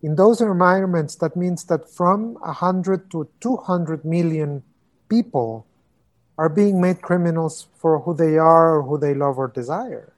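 A man's voice: fairly high pitch, measured pace. A man from Costa Rica, an interviewed human rights expert, answering thoughtfully with many ums and repeated words over a video call.

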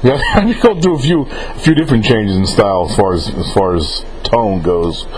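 Casual male interviewer over clear background noise. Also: fairly low pitch, expressive, normal speed. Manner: informal, rambling, often rephrasing questions. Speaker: interviewer relaying fan questions.

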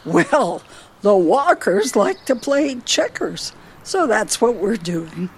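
A woman's voice: mid-range voice, very animated, takes it slowly, a little hiss or hum. A woman playing a feisty elderly wife in a radio play, teasing and needling her husband theatrically. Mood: playful, defiant.

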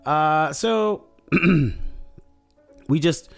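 Guy a bit hoarse going over his d&d session, rambly, faint music under it. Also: on the high side, drawn-out words. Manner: rambling, lots of fillers and restarts. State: slightly hoarse. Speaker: podcast host recapping a tabletop campaign. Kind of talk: casual solo recap.

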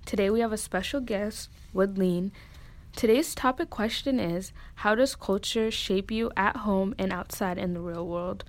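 A woman from Connecticut hosting a casual group podcast, talking in a chatty way full of "like" and animated little stories. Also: medium pitch, unhurried. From Connecticut, USA. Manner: chatty, lots of "like", animated storytelling. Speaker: podcast host.

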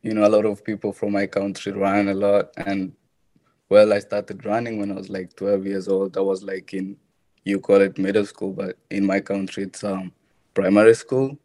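A young man from Kenya, a college runner answering interview questions in a soft-spoken, hesitant way. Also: fairly low pitch, monotone, moderate pace, no room sound. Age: young adult.

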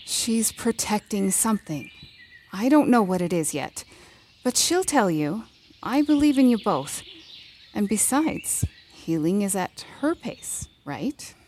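An actress playing a brash, protective lawyer in a fiction podcast drama, blunt and commanding with slangy confidence. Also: female voice, mid-range voice, big pitch swings, slow pace, darkish tone, slight background noise. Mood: gentle, reassuring.

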